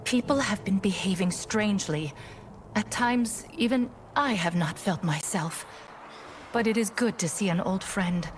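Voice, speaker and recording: A woman playing a stern, vigilant warrior sister in a video game, speaking gravely and earnestly as someone troubled and suspicious. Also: medium pitch, measured pace, a little hiss or hum, natural voice. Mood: grave, concerned. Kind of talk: dramatic video game voice acting. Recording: music underneath the voice. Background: game music.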